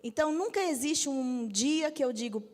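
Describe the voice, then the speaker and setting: A woman in her fifties with a Brazilian Portuguese accent, a bishop giving a lively church teaching talk and joking with the audience. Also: high-pitched, normal speed, big-room sound. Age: 50s. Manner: lively, jokes with the audience, asks for agreement.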